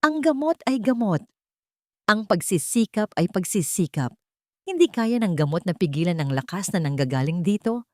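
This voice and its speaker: A woman voicing a gentle, steadfast maid in an audio drama, calm and polite but quietly firm. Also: medium pitch, normal speed, no room sound, clean studio sound, natural voice. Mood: encouraging. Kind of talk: audio drama character dialogue.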